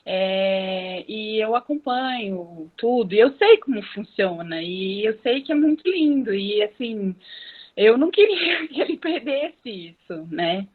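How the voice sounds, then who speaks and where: A woman's voice: mid-range voice, animated, unhurried. A woman parent who volunteers as a German teacher, speaking earnestly and thanking the speakers on a video call. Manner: grateful, earnest. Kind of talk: online Q&A. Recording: tinny phone-like audio.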